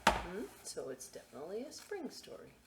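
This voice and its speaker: A woman reading stories aloud to young children in a playful, expressive way, with chatty asides and questions she often answers herself. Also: on the low side, fairly quick, very noisy. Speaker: children's story time reader at a library.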